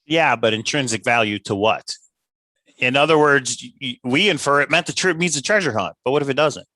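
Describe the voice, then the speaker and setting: A man with a mid-pitched, very bright and forceful voice co-hosts a casual livestream. He reasons aloud, questioning and rewording his points. The sound is close and dry. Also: animated, a little fast, no room sound. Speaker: livestream co-host.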